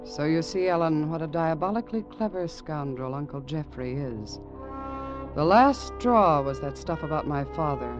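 An actor playing an earnest, doomed young man, confiding his story in measured, heartfelt vintage radio narration. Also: fairly high pitch, lively intonation, lightly acted. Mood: resigned, grave. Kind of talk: dramatic first-person narration, vintage radio. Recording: muffled vintage radio recording. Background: prominent dramatic music.

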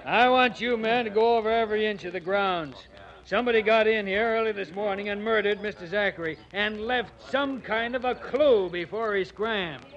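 A muffled old radio crime drama. An actor plays an exasperated, rough-edged police sergeant with a high voice and blunt, slangy delivery. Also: male voice, normal speed, lightly acted. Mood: barking orders. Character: gruff, exasperated male police sergeant, unrefined. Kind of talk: 1940s radio acting.

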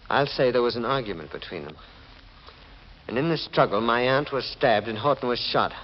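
A man's voice: medium pitch, expressive, normal speed, lightly acted. A man acting a scheming, desperate murderer in a 1940s radio mystery, with a dramatic delivery. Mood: calculating. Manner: dramatic, swinging from smooth lying to agitation. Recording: muffled, noisy 1940s radio recording.

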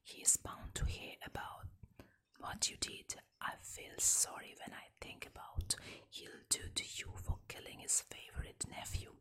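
A woman's voice: a little slow, sharp tone. A woman whispering the part of a sly, clever frog in an animal fable. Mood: mock sorrow.

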